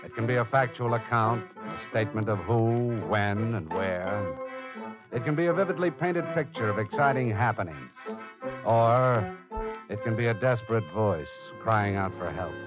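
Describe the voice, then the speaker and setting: An actor playing an earnest radio drama narrator, speaking gravely and deliberately in a theatrical style. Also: male voice, lowish voice, lively intonation, lightly acted. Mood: solemn, stirring. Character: earnest dramatic male narrator addressing the heroine as you. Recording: dull old radio recording, music bed.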